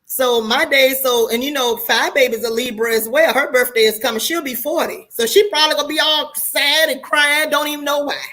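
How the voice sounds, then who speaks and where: A woman's voice: sits high, fairly even intonation, a little fast, hardly any pauses, pushed and bright. An American woman of forty-eight talking casually to her online viewers, candid and preachy, in loose colloquial speech with free swearing. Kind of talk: casual livestream monologue.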